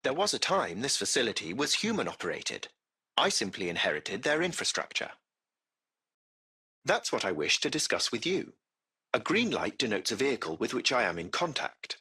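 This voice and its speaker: Game casting: a polite AI chauffeur, formal and precise, very animated pitch, bright forceful edge. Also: male voice, moderate pace, creaky, slightly rough, heavily acted. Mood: formal, explanatory. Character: courteous AI taxi-service machine, formal and butler-like. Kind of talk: scripted video game voice acting.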